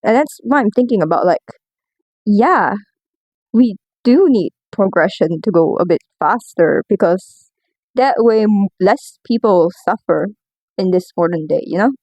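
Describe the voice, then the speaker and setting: A woman's voice: mid-range voice, lively intonation, measured pace, darkish tone, dry recording, muffled recording. A woman talking casually in a rambling, informal audio log, self-deprecating and swearing freely as she gives her opinions. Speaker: video creator giving commentary.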